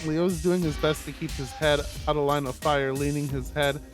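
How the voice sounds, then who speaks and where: Man narrating a visual novel, slightly high voice. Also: steady tone, normal speed, faint music behind. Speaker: streamer reading a visual novel aloud. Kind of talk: live narration of a visual novel with casual asides.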